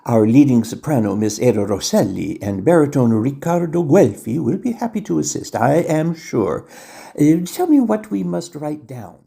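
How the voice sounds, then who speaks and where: A husky, creaky-voiced Victorian opera manager, fussy and eager to oblige. His mellow tone moves in lively swings, as befits a period audio farce. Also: medium pitch, normal speed, heavily acted. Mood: eager, obliging.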